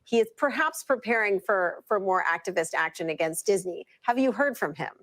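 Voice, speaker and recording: A woman's voice: mid-range voice, animated, fairly quick, fairly bright tone. A woman working as a business reporter, asking direct, professional questions in a broadcast interview.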